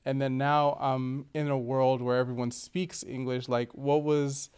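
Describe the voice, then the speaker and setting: A young adult man hosting a podcast, upbeat and energetic as he introduces the show and questions his guest. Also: medium pitch, unhurried, clean tone. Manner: upbeat, energetic, laughs often. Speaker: podcast host.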